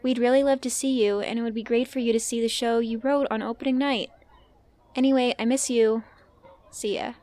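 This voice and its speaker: A woman playing a gentle friend leaving a hopeful voicemail in an audio drama. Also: on the high side, fairly even intonation, brisk, lightly acted. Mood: hopeful, wistful.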